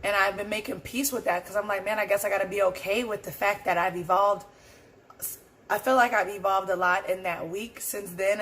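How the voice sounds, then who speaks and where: A woman talks through her thoughts on her own podcast, her voice hoarse and roughened. She rambles in an earnest, unhurried way, circling back to her points with plenty of fillers. Her pitch sits at an ordinary middle level, and faint background noise hums under the recording. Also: a bit bright, rough recording. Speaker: podcast host. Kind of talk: casual solo reflection.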